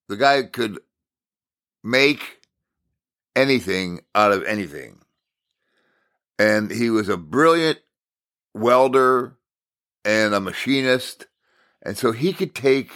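American man, low gravelly voice, informal preacher, storytelling, deliberate halting phrasing, repeats key words. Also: fairly bright tone. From Minnesota, United States. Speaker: Bible teacher, preacher.

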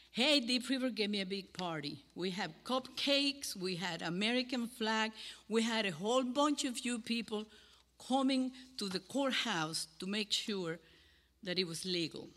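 An older woman from Cuba with a Cuban Spanish accent, giving an earnest sermon to a congregation and pausing between phrases. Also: on the high side, fairly bright tone. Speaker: guest speaker. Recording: hall with long reverb.

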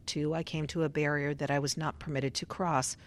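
An actress playing a formal, probing government researcher in a radio drama, with clipped, measured questioning. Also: female voice, deep voice, flat delivery, natural voice. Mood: clinical, procedural. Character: controlled, probing female government researcher running a clinical survey.

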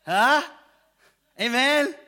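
Man preaching, very high voice, bright, animated, calls out to the congregation. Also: very slow. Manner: animated, rising to shouts, asks the congregation questions; casual, joking. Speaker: pastor. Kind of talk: sermon.

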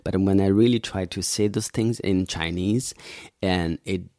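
A man as a podcast guest, speaking haltingly and searching for words. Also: deep voice, dark tone, no room sound, clean studio sound. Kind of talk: casual interview talk. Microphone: podcast microphone.

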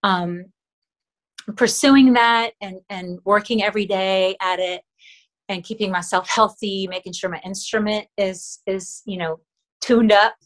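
A middle-aged woman from the Philippines, Tagalog her first language, speaks as a podcast guest at a medium pitch. She talks earnestly and warmly, with frequent fillers and soft laughs. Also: fairly even intonation, a little slow. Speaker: actress, interview guest. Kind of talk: casual interview talk.

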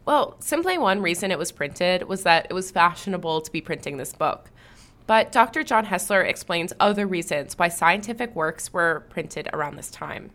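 A woman hosting a history podcast, reading scripted narration in a clear storytelling manner and bantering lightly with her co-host. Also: on the low side, lively intonation, normal speed, fairly bright tone.

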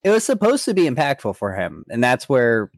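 A man's voice: mid-range voice, wildly swooping pitch, fairly quick, no room sound. A man co-hosting a podcast in a casual, conversational discussion. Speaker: podcast co-host. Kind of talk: casual discussion of an anime parody.

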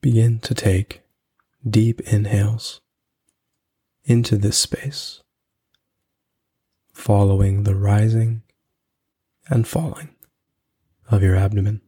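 A man hosting a podcast and leading a guided meditation in a soothing, unhurried way with long pauses. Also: lowish voice, steady tone, warm tone, slightly rough.

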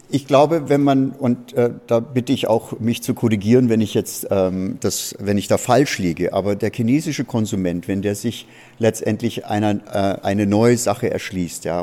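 Panelist, male, low and mellow, rambling with fillers, handheld mic in a reverberant hall. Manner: rambling, fills pauses with "ja" and "letztendlich". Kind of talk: panel discussion answer.

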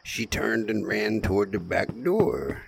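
A man acts out a theatrical, macabre gravedigger narrating an audio horror play. His voice is low and gravelly, with wide swings in pitch. He takes his time like a practiced campfire storyteller. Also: very animated, measured pace, exaggerated voice. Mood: ominous storytelling. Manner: wry, theatrical. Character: folksy gravedigger narrator of small-town horror tales, wryly macabre. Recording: clearly noisy recording.

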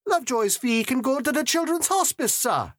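A man's voice: very high voice, animated, moderate pace, fairly bright tone, no room sound, clean studio sound, voice pushed up, full character voice. A man voicing a sweet but bossy young woman who commits others to charity, speaking sweetly and firmly. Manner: sweetly firm.

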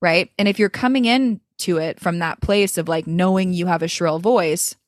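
A woman's voice: fairly low pitch, normal speed, no room sound, studio quality. A woman voice coach speaking as a guest in an interview, talking in a thoughtful, flowing way with frequent "like" and "you know" fillers. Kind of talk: reflective interview talk.

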